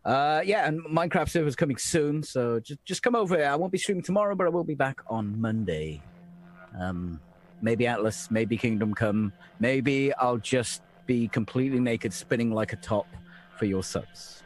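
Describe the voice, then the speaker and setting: A man's voice: medium pitch, lively intonation, moderate pace. Guy, cheeky and sweary, mid voice, faint music behind. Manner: brash and profane, teasing. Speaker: player and streamer. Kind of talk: casual stream shout-out.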